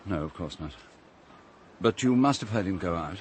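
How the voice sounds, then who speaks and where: A cool, suave British gentleman of the castle. His low voice is clipped and dryly cutting. It is radio drama, with faint noise behind it. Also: lively intonation, moderate pace. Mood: grave. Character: suave, cool male owner of island castle.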